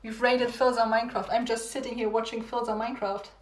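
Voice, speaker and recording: A young woman chatting casually with livestream viewers, rambling and repeating herself with little laughs, her voice going hoarse. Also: fairly high pitch, flat delivery, fast talker. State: losing her voice. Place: home room. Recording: echoey room, phone livestream.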